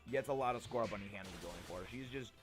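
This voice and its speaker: A young man chatting playfully with his stream viewers, a little gravelly and prone to repeating himself. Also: mid-range voice, racing, soft background music. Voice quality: slightly gravelly. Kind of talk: casual live-stream chat with viewers.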